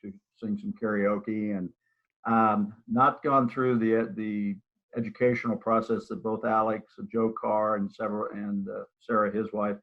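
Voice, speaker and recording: Low-voiced older man on a tinny line. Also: little pitch movement, unhurried. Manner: rambling, with many restarts and fillers. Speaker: real estate broker and office manager. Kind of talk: casual interview over a live video call. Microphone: video call. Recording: tinny phone-like audio.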